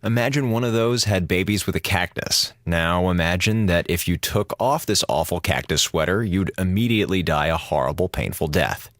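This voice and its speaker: A man's voice: low-pitched, normal speed, few pauses, a bit bright, no echo, studio quality. An American man playing a sarcastic, grumbling space station communications officer, flippant and exasperated, delivering a radio drama monologue. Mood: sardonic. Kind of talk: audio drama monologue, mock radio address to schoolchildren.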